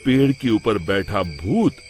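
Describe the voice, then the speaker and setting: A man's voice: fairly low pitch, sing-song, slow pace, over loud music. A man narrating a scripted moral story for a cartoon as storyteller.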